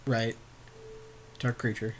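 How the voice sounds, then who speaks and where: A man's voice: mid-range voice, little pitch movement, measured pace, slightly rough, rough recording. Joking man chatting through gameplay. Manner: joking, slangy, swears casually. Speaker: podcast co-host commenting on a card game match. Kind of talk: casual gameplay commentary with a friend.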